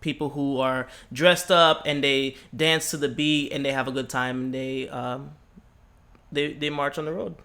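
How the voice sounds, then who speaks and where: A man's voice: medium pitch, steady tone, unhurried, sharp tone, clear, resonant tone. A young man co-hosting a casual podcast, teasing and joking with his co-host and laughing often. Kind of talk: casual chat.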